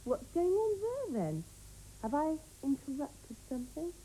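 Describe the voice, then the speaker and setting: Haughty games-tips presenter, mellow tone, slightly high, faint hiss. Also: female voice, big pitch swings, poor audio. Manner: brisk, haughty. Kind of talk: comic presenting.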